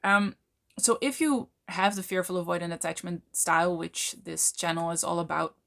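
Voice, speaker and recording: A Dutch woman, a native Dutch speaker talking in English, explaining things to camera in a thoughtful way, with many fillers and slow, stretched words for emphasis. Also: on the low side, fairly even intonation, gravelly, fairly bright tone. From Netherlands. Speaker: channel host, coach. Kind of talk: casual explanatory talk to camera.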